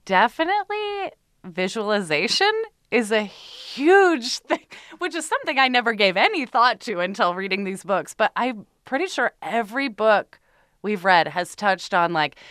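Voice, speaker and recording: A woman with a bright, crisp voice, talking as a comedian being interviewed. Her style is casual and candid, with a self-deprecating streak, and the sound is dry and close. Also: mid-range voice, lively intonation, moderate pace, dry recording. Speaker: comedian and podcast co-host, interview guest. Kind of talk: casual chat.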